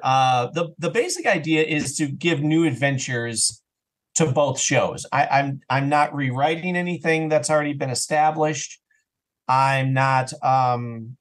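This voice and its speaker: A man's voice: on the high side, a bit bright. A man speaking as a podcast guest, explaining things casually and enthusiastically, with stammered repetitions. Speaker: podcast guest, audio drama creator. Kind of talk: casual, explanatory interview chat.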